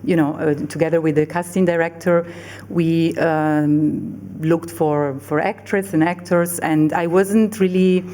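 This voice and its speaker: Woman director, low mellow voice, hesitant panel answers with frequent fillers. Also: steady tone, unhurried. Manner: hesitant, many fillers and restarts. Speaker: film director on a festival panel.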